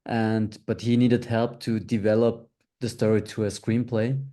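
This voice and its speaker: Low, mellow-voiced man from Switzerland. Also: little pitch movement. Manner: measured, plain answers with fillers. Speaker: film producer, guest. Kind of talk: interview answers.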